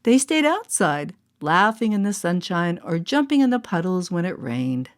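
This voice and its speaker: A woman storyteller narrating a storybook with expressive, deliberate phrasing. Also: on the low side. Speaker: storyteller and voice artist. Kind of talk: scripted storybook narration for all ages. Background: music.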